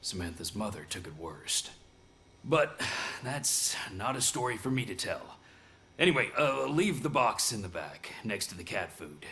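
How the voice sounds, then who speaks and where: An actor playing a kindly older neighborhood shopkeeper in a video game. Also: male voice, on the low side, very animated, measured pace, sharp tone, full character voice. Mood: warm, rueful. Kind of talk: video game cutscene acting.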